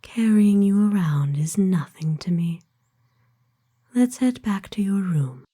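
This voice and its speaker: A woman playing a kind, aristocratic vampire in a whispered ASMR roleplay, speaking gently and soothingly with formal, old-fashioned phrasing. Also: medium pitch, animated, slow pace. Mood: concerned, firm. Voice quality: breathy, hushed whisper. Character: kind, doting, aristocratic female vampire owner.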